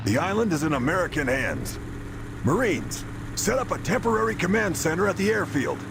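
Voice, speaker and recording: An actor playing a resolute, commanding naval fleet commander giving orders in a war video game. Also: male voice, high-pitched, sing-song, brisk, creaky, exaggerated voice. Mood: urgent, commanding. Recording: loud music and engines behind.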